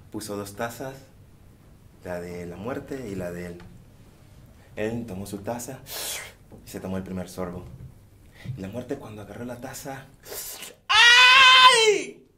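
A man performing a folktale on stage, speaking rhythmically and repeating phrases, often sinking to hushed tones. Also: low voice, slight background noise. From Colombia. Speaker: storyteller. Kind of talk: theatrical oral storytelling. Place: dark theater stage.

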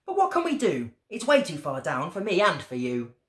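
Woman as a sulky storybook bat, lively pitch. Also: normal speed, roomy sound. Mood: puzzled, grateful. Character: grumpy male bat, sulky storybook animal.

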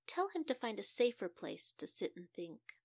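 A woman's voice: mid-range voice, very animated, normal speed, no room sound. A firm, admonishing fairy-tale doctor played by a woman storyteller. He is caring yet exasperated, and the sound is close and dry. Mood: matter-of-fact.

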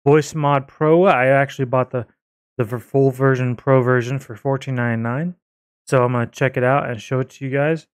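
Man, casual software walkthrough, informal, hesitant and rambling, middle pitch, clear voice, close mic. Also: fairly even intonation, normal speed, dry recording. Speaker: video creator reviewing software. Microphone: close desktop microphone.

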